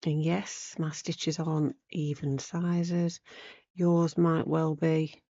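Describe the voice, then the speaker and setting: A woman with a croaky, hoarse voice from a cold, giving a casual, chatty craft tutorial and thinking aloud as she goes. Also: deep voice, level tone, slow, warm tone, muffled recording. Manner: unscripted, rambling, thinking aloud while working. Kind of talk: casual chatty needlework demonstration.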